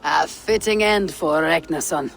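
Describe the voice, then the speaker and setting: An actor playing a cool-headed, wry Viking warrior in a video game, with a dry, measured way of talking. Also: male voice, a little high, creaky, fairly bright tone, soft background music. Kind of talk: video game cutscene acting.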